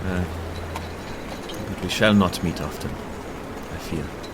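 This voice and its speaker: A man playing a gentle, courteous, lovestruck German professor in an audio play, with a German accent and formal, halting phrasing. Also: sits low, background noise. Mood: subdued.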